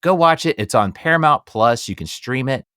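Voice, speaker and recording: A man's voice: mid-range voice, animated, moderate pace, pushed and bright, dry recording, clean studio sound. A middle-aged man with an American accent hosting a casual podcast, chatty, upbeat and enthusiastic. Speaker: podcast host.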